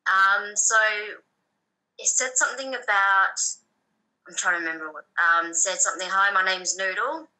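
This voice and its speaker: A woman in her thirties, from Thailand and raised in Australia, answering interview questions in a soft, hesitant way with frequent pauses. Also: medium pitch, low-quality recording. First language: Thai. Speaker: guest. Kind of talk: personal story interview.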